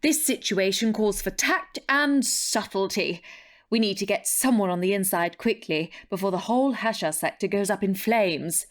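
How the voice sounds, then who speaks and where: A female spymaster, played by an actress with a medium-pitched voice and a formal, unflappable air. She gives orders briskly and with dry authority, as the head of an agency in a sci-fi radio drama. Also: lightly acted. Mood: brisk, grave. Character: brisk, unflappable female chief of a space spy bureau. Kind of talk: sci-fi audio drama dialogue.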